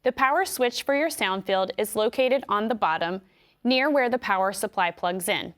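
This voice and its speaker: Calm American woman explaining step by step. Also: mid-range voice. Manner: clear, measured explanatory delivery. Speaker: instructional video narrator. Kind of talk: scripted instructional narration.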